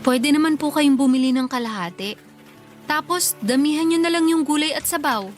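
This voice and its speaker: An actress playing a dutiful but exasperated young Filipina working daughter, firm and long-suffering, in a Tagalog radio drama. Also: female voice, high-pitched, moderate pace, soft background music, close to an everyday voice. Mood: patient, apologetic. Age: young adult.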